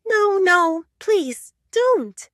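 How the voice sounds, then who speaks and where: A woman voicing a hesitant, inexperienced young woman with a soft voice in a werewolf romance audio drama. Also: extremely high pitch, lively intonation, very slow, no room sound, clean studio sound, voice pushed up, full character voice. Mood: flustered.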